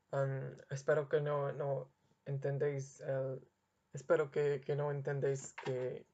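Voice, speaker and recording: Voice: mid-range voice, a little mellow, lo-fi sound. A young adult language learner talking unscripted and searching hard for words, often restarting and correcting themselves. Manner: apologetic about own mistakes. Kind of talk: unscripted spoken monologue to camera.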